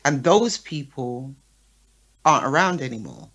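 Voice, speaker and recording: A woman's voice: a bass voice, expressive, unhurried, a bit bright. A woman guest on a talk podcast, a show promoter speaking frankly and often checking in with her listener. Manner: frank, lists names, checks in with "yeah?" and "right?". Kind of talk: casual interview talk.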